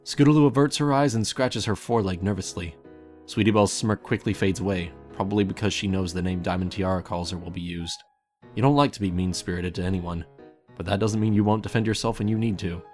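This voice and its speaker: Low-pitched man narrating a story. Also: brisk, a little mellow. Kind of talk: scripted fan fiction narration. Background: music.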